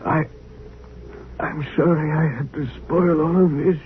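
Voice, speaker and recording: Actor as a frail dying old man, slow and halting. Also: a little high, steady tone, close to an everyday voice. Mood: dying, weak. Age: older. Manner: halting, faltering, repeating words. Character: frail, lonely, dying old scientist, remorseful and gentle. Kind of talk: 1950s radio drama acting. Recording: tinny, hissy old radio broadcast.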